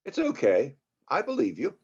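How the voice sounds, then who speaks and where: A man acting a smooth, wealthy Hollywood producer in his twenties at a drama table read, conversational and self-assured, on slightly dull audio. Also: a little high, wildly swooping pitch, normal speed, darkish tone, full character voice.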